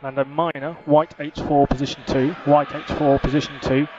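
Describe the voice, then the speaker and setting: A man working as a race engineer, giving calm, clipped, repeated instructions over a team radio. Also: fairly high pitch, normal speed. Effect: team radio filter. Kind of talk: terse, instructional radio messages. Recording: muffled, noisy motor-racing team radio.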